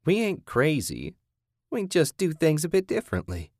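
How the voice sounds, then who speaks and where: A man's voice: fairly high pitch, wildly swooping pitch, moderate pace, a little mellow, no echo, pitched above the performer's own voice. A man performs a cruel woman from a horror audiobook, the voice lifted well up. She is folksy and self-righteous, sneering as she lectures with syrupy condescension. The sound is dry and close. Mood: taunting.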